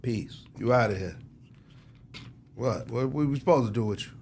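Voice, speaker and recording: A man's voice: mid-range voice, normal speed, a little mellow, slight background noise. A man talking casually as a record executive in an interview, emphatic and slangy, repeating phrases for effect. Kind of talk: casual, animated interview talk.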